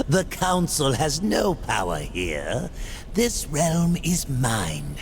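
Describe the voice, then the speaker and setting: An actor playing a sly, greedy soul-eating demon merchant in a video game, with an insinuating, theatrical delivery that draws words out. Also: male voice, mid-range voice, a little hiss or hum. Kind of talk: video game cutscene acting.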